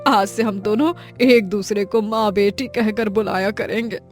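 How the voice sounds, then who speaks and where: A woman's voice: medium pitch, fairly even intonation, unhurried, faint music behind, close to an everyday voice. An actress playing an elderly, protective and long-suffering mother-in-law in a melodramatic story cartoon, with an emotional delivery. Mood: grateful, tender. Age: older. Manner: emotional, pleading.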